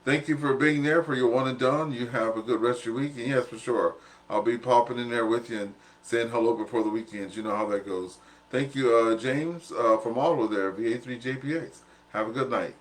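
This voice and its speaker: A man's voice: mid-range voice, a little fast. A man, chatty, jovial and self-deprecating, hosting a casual amateur radio net. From southern United States.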